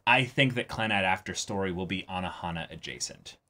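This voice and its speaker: Deep-voiced man with a bright edge. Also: a little fast. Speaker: co-host of an anime reaction show. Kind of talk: casual discussion of an anime episode.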